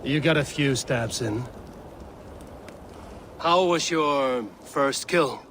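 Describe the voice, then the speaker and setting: An actor playing a wry, pragmatic, commanding Viking warrior in a video game, with dry, understated delivery. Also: male voice, medium pitch, takes it slowly, a bit bright, a little hiss or hum. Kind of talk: video game character acting.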